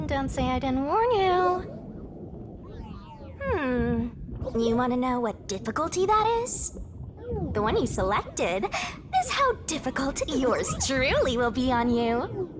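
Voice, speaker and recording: A woman's voice: high-pitched, noisy background, exaggerated voice. A woman voicing a cutesy, mischievous little spirit creature in a video game, teasing, bossy and playfully menacing. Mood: smug teasing. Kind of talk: animated video game character acting.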